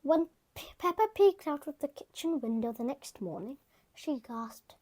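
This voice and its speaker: A woman reading a children's picture book aloud, gentle and soft-spoken, sometimes dropping to a whisper. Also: high-pitched, animated, unhurried. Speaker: storybook reader. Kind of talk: children's read-aloud narration.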